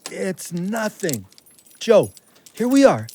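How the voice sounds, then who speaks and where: A man's voice: high-pitched, sing-song, slow pace, mellow, a little hiss or hum, heavily acted. A man playing an earnest, plain-spoken ex-convict and loyal friend in a naturalistic audio drama. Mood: coaxing.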